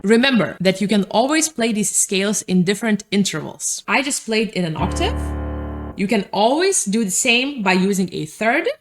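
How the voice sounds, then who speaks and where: Calm Lithuanian woman teaching piano. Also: medium pitch, normal speed. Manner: calm, methodical explaining while playing. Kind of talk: piano lesson explaining music theory. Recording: piano music under the voice. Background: piano playing.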